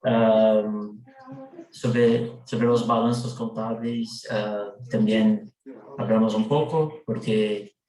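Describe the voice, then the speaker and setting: A man with a Brazilian Portuguese accent, a native Portuguese speaker reporting back for his working group in a video meeting, hesitant with many drawn-out fillers. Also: lowish voice, steady tone, a little mellow, echoey room, poor audio.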